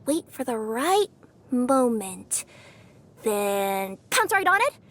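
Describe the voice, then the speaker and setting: An actress voicing a cheerful young female cat-yokai courier for a video game, bubbly and bashful, quick to fluster. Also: on the high side, very animated. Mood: playful. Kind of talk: video game character voice lines.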